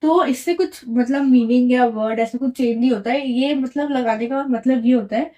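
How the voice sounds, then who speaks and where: A woman's voice: sits high, moderate pace, mellow. A woman teaching French, explaining patiently and often checking in with the listener. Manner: patient, repeats and checks in with "right?". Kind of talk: explaining a lesson at a whiteboard.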